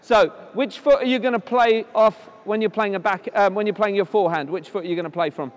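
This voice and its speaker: Man coaching kids in an echoey hall. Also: high voice. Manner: encouraging, brisk instructions to children; projects his voice loudly. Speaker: tennis coach. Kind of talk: on-court coaching demonstration. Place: indoor tennis hall at a conference.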